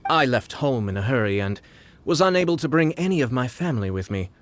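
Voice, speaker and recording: A man voicing a courtly, suave young lord in a video game, composed and slyly amused, with formal, polite, measured phrasing. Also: medium pitch, very animated, dry recording, close to an everyday voice. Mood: politely persuasive. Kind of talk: video game cutscene acting.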